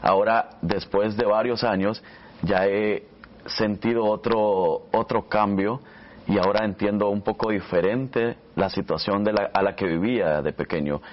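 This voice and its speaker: Low-voiced Salvadoran man, slightly dull audio. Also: unhurried, slight background noise. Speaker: radio announcer and interview guest. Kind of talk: conversational personal storytelling.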